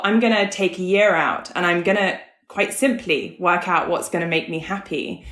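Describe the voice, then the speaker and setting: A woman guest, raised in Hong Kong, shares personal stories in a casual, candid way. Her voice is a little on the low side, and the recording is noticeably roomy and echoey. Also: a bit bright. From born in the US, grew up in Hong Kong. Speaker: podcast guest, coach.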